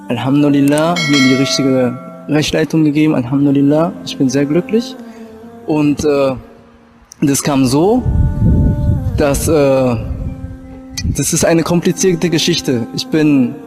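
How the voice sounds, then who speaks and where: A young man from Japan who later lived in Germany, giving an informal personal testimony and often searching for words. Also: a little high. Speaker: convert telling his story.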